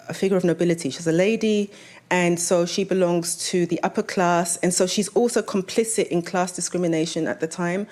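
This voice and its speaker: A woman's voice: lowish voice, a little mellow. A woman novelist talking about her own work as a panelist, in a conversational way that draws the audience in with questions.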